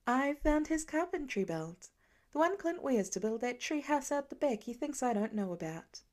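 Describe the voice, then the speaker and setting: A patient, caring mom in an audiobook, voiced by a woman narrator. Her voice is fairly high, and she speaks in a gentle, encouraging, reassuring way. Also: sing-song, moderate pace. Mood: caring, wry. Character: patient, caring mother of young and teenage children. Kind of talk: audiobook character voice.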